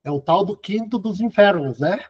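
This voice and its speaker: Husky-voiced man lecturing. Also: high voice, expressive, normal speed, darkish tone. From southern Brazil. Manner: conversational, sprinkles "cara" and "né". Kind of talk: casual lecture over web conference. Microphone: video call. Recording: dull lo-fi video call audio.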